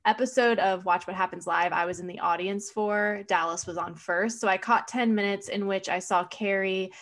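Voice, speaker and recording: A woman guest on a podcast chatting casually, wry and self-mocking, quick to laugh. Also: medium pitch, fairly even intonation, fairly bright tone. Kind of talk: casual chat.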